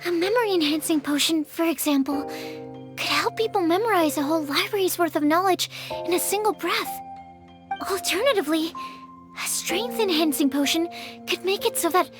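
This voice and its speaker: The keen girl researcher at the potion bench of a fantasy game, very high voice explaining earnestly over background music. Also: moderate pace. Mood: eager, thoughtful. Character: eager young female alchemist researcher, earnest and enthusiastic. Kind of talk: video game voice acting.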